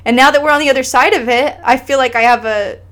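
A woman's voice: high voice, fast, fairly bright tone, faint music behind. A woman talking as an interview guest, earnest and impassioned, speaking in a casual conversational way with frequent restarts. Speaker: guest sharing her family's immigration story.

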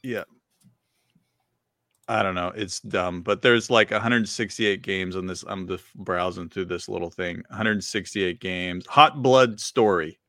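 Casting: middle-aged male podcast co-host, lowish voice, dry close sound, rambling and chuckly. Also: a little slow, a bit bright, dry recording. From Virginia. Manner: rambling, filler-heavy, laughs often. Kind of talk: casual chat.

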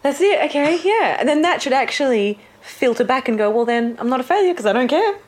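A woman chatting casually on a podcast, telling animated stories, laughing often and acting out what other people said. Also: high voice, brisk. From living in Thailand. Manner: animated storytelling, laughs often, mimics voices. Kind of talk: casual chat.

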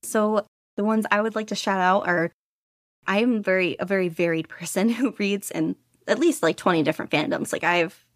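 A woman chatting as a podcast guest, gushing and laughing, her talk full of "like"s and quick restarts. Her voice sits at a middling pitch and sounds close and dry, with little room behind it. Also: no echo. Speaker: guest podficcer. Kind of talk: casual interview chat.

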